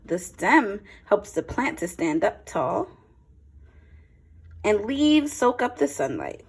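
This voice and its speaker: A woman teacher reading a picture book aloud to young children, with expressive phrasing and simple explanatory asides. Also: medium pitch, takes it slowly, husky.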